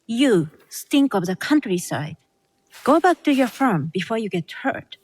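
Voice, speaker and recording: A woman's voice: a little high, sing-song, unhurried. An actress playing a snobbish, catty noblewoman in a video game, condescending and mock-polite. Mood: contemptuous. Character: haughty, catty, snobbish noblewoman from a rich family. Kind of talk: video game cutscene acting.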